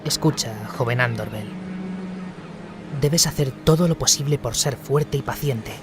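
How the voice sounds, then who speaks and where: A man playing a gentle, reassuring elven healer in a fantasy radio drama, speaking calmly and earnestly. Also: medium pitch, measured pace, lightly acted. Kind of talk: Spanish-language fantasy radio drama acting. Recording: voice over a music bed.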